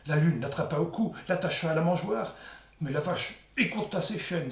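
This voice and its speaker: A man telling a folk tale aloud in a theatrical, colloquial oral storytelling style. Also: fairly high pitch. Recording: echoey room.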